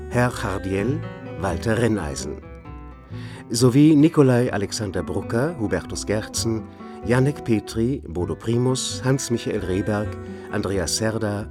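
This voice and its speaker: A man reading the credits of a radio play as a scripted announcement, measured and formal. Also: fairly low pitch. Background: plucked guitar music.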